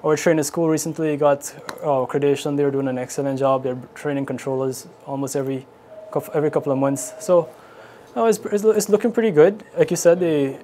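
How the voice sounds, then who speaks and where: A man with a Guyanese accent answers interview questions informally. His voice is slightly high and mellow, with soft edges. Some noise sits behind him on the location recording. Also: steady tone, fairly quick. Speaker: air traffic controller being interviewed. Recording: clearly noisy location interview.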